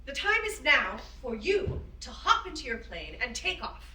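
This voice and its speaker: A woman's voice: high voice, very animated, normal speed, pushed and bright, a little hiss or hum, full character voice. A woman playing a spirited, encouraging woman aviator in a staged play reading, with an earnest, emphatic manner. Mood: rousing. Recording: roomy, distant microphone.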